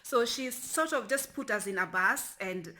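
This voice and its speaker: A young woman from Kenya chats loosely to her camera, wandering off mid-sentence and starting over. Her voice is bright and forward, and she often drops to a hushed, near-whispered murmur. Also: unhurried. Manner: rambling, restarts often, lowers to a near whisper. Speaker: vlogger. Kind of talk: casual talking-to-camera vlog.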